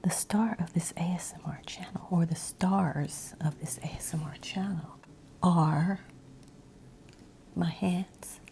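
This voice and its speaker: A woman ASMR creator rambling in a breathy whisper mixed with soft, hushed speech, meandering as she goes. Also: on the low side, steady tone, slow, slight background noise.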